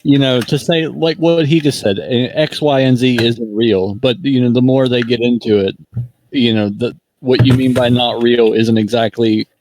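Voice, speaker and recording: A man's voice: mid-range voice, fairly even intonation, moderate pace, darkish tone, a little hiss or hum, rough recording. A man who teaches philosophy, joining an online voice-chat debate, searching for words as he explains.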